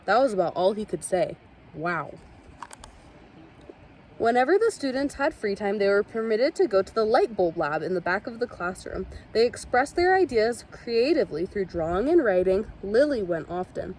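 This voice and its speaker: A woman reading a children's picture book aloud with expressive storytelling. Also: mid-range voice, moderate pace, a little hiss or hum. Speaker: storybook reader.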